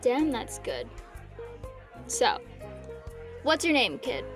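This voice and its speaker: A sardonic female ghost hunter in an audio drama. Her voice sits somewhat high, and her delivery is blunt, dry and unimpressed. Faint music plays behind her. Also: sing-song, slow. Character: world-weary, independent female ghost and demon hunter, sardonic. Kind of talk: scripted audio drama acting.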